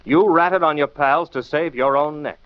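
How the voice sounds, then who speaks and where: A man's voice: a little high, normal speed, clear, resonant tone, no room sound, close to an everyday voice. Muffled 1940s radio detective, a man acting. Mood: scornful, forceful. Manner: emphatic, rhetorical questioning. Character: shrewd male detective, lecturing and scornful. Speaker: detective lead and show host.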